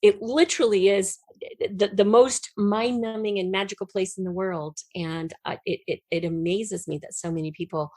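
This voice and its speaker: A middle-aged American woman from the western United States, speaking as a podcast guest and telling personal stories in an animated, conversational way. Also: medium pitch, moderate pace, no room sound. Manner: animated, acts out remembered dialogue. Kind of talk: conversational personal storytelling in an interview.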